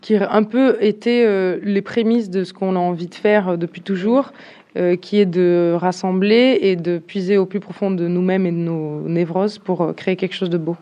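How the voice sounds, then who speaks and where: A young woman singer from southern France gives an interview in a soft, mellow voice. She is shy and hesitant, pausing often as she looks for the right words. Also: lowish voice, steady tone, lo-fi sound. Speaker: singer of a band. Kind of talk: casual interview.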